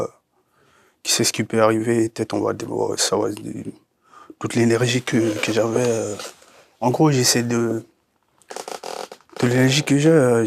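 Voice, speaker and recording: A man's voice: fairly low pitch, creaky, no room sound. A man of twenty-two, a guest on a youth panel, speaking hesitantly with many fillers. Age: 20s. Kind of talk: casual discussion.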